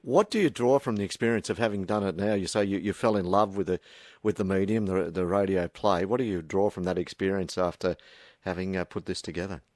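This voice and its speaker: Low-voiced Australian man hosting radio. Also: a little mellow, no echo, clean studio sound. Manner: stammering, halting questions with repeated words. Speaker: radio interviewer and host. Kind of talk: live radio interview, casual chat.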